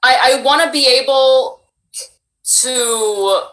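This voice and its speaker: Cuban Miami woman, bright and animated. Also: sits high, slow. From Miami, United States. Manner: animated and expressive, laughs often. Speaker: voice actress and creator. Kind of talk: casual interview chat. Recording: roomy echo.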